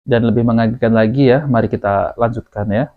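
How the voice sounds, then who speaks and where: A man's voice: mid-range voice, steady tone, brisk. An Indonesian man giving informal religious commentary as a host, repeating himself and often tagging phrases with a short filler. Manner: repetitive, often tags phrases with "ya". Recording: dull lo-fi audio.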